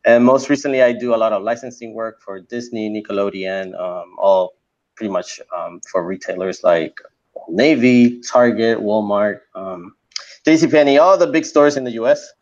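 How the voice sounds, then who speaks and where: A man's voice: fairly low pitch, normal speed, a little mellow. A man in his forties from Puerto Rico, a native Spanish speaker, talking as a guest in a casual interview with many fillers and restarts. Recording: dull lo-fi sound.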